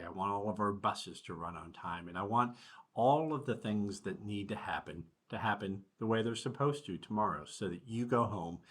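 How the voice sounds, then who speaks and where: A middle-aged American man with a fairly low, husky voice talks to children as their superintendent. He is conversational and reassuring, pausing a story for friendly asides from an office desk. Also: a bit bright. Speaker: school superintendent reading aloud to pupils. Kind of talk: children's read-aloud with asides to listeners. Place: small indoor office.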